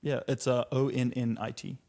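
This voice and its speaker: Gravelly man in his twenties, relaxed. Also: mid-range voice, normal speed. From New Zealand. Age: 20s. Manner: relaxed, laughs often, says "like" a lot. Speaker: guest. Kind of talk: casual podcast chat.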